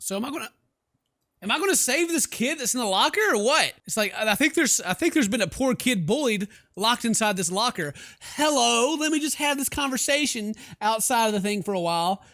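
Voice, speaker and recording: Bright-voiced sarcastic man doing gaming commentary. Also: very high-pitched, a little fast, no echo, clean studio sound. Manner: sarcastic, animated when incredulous. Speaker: gaming video host. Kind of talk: let's play commentary, reading game text aloud.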